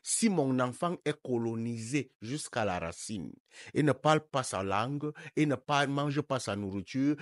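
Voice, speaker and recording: A man's voice: medium pitch, no echo, studio quality. A man from Africa, a life coach giving an emphatic opinion monologue full of rhetorical questions.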